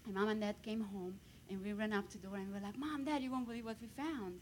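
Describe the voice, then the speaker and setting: Fast-talking woman with a Hungarian accent. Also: mid-range voice, slight background noise. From Romania. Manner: lively storytelling, bursts of emphasis. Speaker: guest speaker. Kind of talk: personal testimony on stage.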